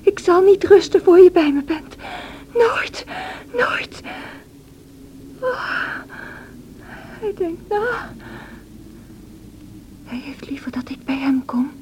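An actress playing a feverish, wild and distraught young married woman in a radio drama, with a breathy, anguished delivery. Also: very high-pitched, animated, takes it slowly, pauses often, muffled recording, heavily acted. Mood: delirious, yearning. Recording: noisy old radio play recording.

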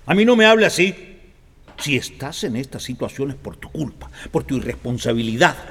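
A man's voice: medium pitch, very animated, normal speed, a bit bright, in a large hall, slight background noise. An actor playing a strict middle-aged father in a radio drama, with a Costa Rican accent and a stern, scolding tone.

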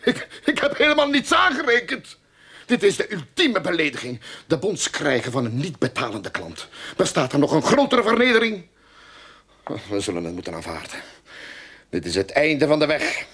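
A penniless, ill-tempered boss of a detective agency in a radio play. His voice is fairly high and swings widely as he blusters and sneers. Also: moderate pace, breathy edge, full character voice. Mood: exasperated. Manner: excitable, blustering, sarcastic. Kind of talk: radio drama acting.

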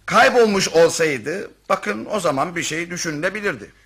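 An actor playing an officious, evasive police commissioner in a satirical radio play, pompous and pedantic in bureaucratic officialese. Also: male voice, high-pitched, lively intonation, normal speed, a bit bright, breathy edge, full character voice. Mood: condescending, officious. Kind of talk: radio theatre acting, satirical comedy.

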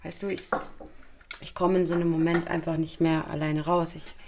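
A woman's voice: low voice, flat delivery, normal speed, background noise. A woman playing a volatile, controlling young girlfriend in a naturalistic audio drama, with colloquial, accusing speech. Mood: wounded, self-justifying. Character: volatile, controlling young girlfriend who blames and guilts her partner.

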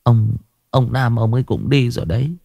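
A man's voice: medium pitch, moderate pace, vocal fry, mellow, no room sound. A man voicing a frightened old village wife who pleads and laments. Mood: anxious pleading.

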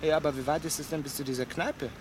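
A man's voice: a little high, steady tone, fast talker, lightly acted. A man playing an anxious, distrustful householder in a radio play, insistent and worried. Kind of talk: German radio play acting. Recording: noisy outdoor scene with traffic.

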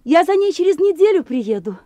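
A woman's voice: very high voice, very animated, fairly quick, dark tone, no echo. An actress playing a proud young noblewoman from the capital who talks in a teasing, lilting way, in a radio play. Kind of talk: 1980s radio play acting.

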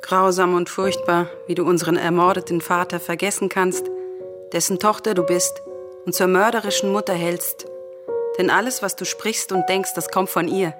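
An actress playing a grieving, vengeful, defiant princess in a classical radio tragedy, speaking in solemn, impassioned declamation. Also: female voice, lowish voice, steady tone, normal speed, music in the background, close to an everyday voice. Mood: scornful.

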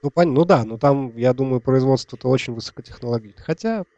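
Mellow-voiced man in informal, inquisitive chat. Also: mid-range voice, fast talker, no room sound, highs cut off. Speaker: podcast panelist. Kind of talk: casual chat.

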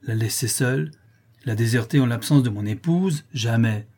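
A melodramatic old male porter acted out by a male reader, grandly dignified and easily scandalized. His storybook speeches are formal and exclamatory, in a husky, mellow voice. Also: mid-range voice, normal speed, close to an everyday voice. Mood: stubborn, pondering.

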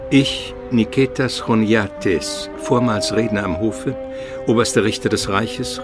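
A man's voice: on the low side, natural voice. A man playing a learned, courteous Byzantine court historian, formal and eloquent, in a German radio drama. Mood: dignified. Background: music.

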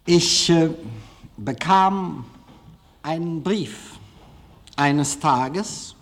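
A man giving a live public lecture in a hall, hesitant, halting and self-deprecating as he speaks. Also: a little high, a bit bright. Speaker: film director giving a lecture. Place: large formal auditorium, indoors.

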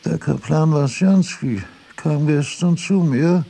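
An actor playing an aged philosopher who muses aloud in a radio drama, halting and drifting from thought to thought. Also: male voice, fairly high pitch, vocal fry, warm tone, husky, exaggerated voice. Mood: musing, rambling. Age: older. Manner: halting, digressive, musing aloud. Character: aged philosopher Kant, rambling through notes and household trifles.

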